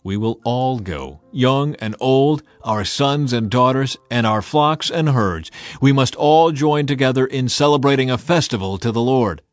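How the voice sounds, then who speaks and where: A man's voice: mid-range voice, animated, measured pace, few pauses, dry recording, close to an everyday voice. Man voicing Moses, a bold, unyielding prophet. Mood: resolute.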